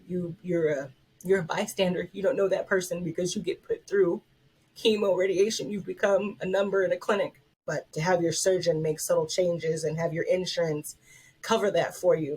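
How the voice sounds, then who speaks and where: A woman in her thirties talking as a patient in a heartfelt, halting way, repeating words. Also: lowish voice, a little mellow, breathy edge, poor audio. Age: 30s. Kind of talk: interview testimony.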